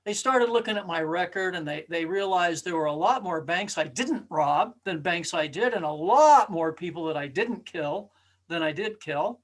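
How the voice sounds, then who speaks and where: A man telling an imaginary parable as a storyteller, acting out dialogue in an earnest, emphatic manner with dramatic pauses. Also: sits high, expressive.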